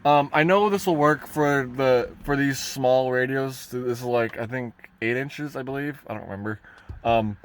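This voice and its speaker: A man gives a casual hands-on DIY truck tutorial in a halting, rambling way, often correcting himself as he goes. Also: mid-range voice, clear, resonant tone. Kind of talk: casual hands-on how-to talk. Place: inside a parked pickup truck cab.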